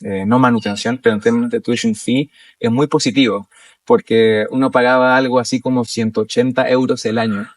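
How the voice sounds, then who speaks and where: A man's voice: mid-range voice, expressive, brisk, no room sound, far from the mic. A young man from Chile with a Chilean Spanish accent, talking as an interview guest in a casual, hesitant way with many fillers and restarts. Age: young adult. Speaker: interview guest, doctoral student.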